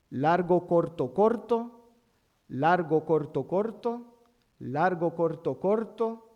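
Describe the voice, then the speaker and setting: Male lecturer, Puerto Rican accent, raised pitch, unhurried and halting delivery. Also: a little mellow. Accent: Puerto Rican Spanish. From Puerto Rico. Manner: halting, many fillers, spells things out slowly. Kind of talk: university lecture. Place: university amphitheater.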